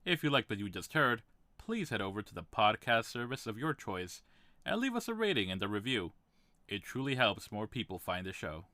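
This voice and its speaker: Bright, low-pitched male announcer. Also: big pitch swings, normal speed, dry recording. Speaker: credits announcer. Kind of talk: scripted podcast credits read.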